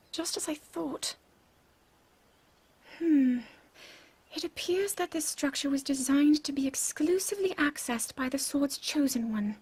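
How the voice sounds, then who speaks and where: A woman's voice: high voice, a little mellow. A woman playing a studious, proud young princess in a video game drama, speaking formally and precisely. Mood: frustrated curiosity.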